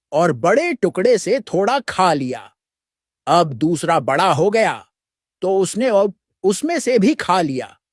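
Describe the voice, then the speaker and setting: Slow, animated male storyteller. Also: sits high, no echo, clean studio sound. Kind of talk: children's animal story narration in Hindi.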